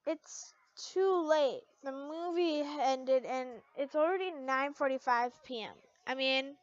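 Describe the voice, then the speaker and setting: A woman's voice: sits high, takes it slowly, no room sound, highs cut off. A woman voicing a shy, awkward young man who is smitten with his friend, hesitant, in an amateur story reading. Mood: resigned.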